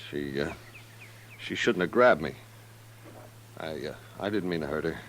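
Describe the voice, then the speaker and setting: Swooping-voiced, pushy Western deputy. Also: male voice, extremely low pitch, lively intonation, normal speed, a bit bright. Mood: flustered, defensive. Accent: American. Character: pushy, bullying deputy sheriff, quick to make excuses. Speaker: radio drama actor. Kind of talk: old-time radio drama acting. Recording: noisy old radio recording with clicks.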